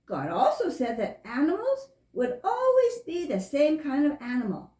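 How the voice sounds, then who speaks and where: Lady doing a chatty lil skunk puppet, super animated, earnest kids show voice, echoey room. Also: high-pitched, normal speed. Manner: earnest, sing-song explaining to children. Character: perky, friendly young female skunk puppet, earnest and chatty. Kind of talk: children's puppet show dialogue. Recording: roomy, echoing room sound.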